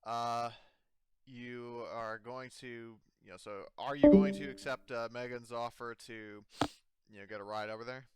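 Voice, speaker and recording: A man's voice: mid-range voice, steady tone, a little slow, loud music behind the voice. A man speaking casually as game master, narrating and checking in with players on a tabletop game. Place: online or laptop-recorded game session. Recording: noisy laptop recording with clicks.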